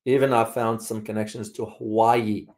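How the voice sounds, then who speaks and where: A man from Morocco hosting a casual live community discussion, speaking haltingly and often repeating his words. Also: on the low side, little pitch movement, a little mellow. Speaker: host.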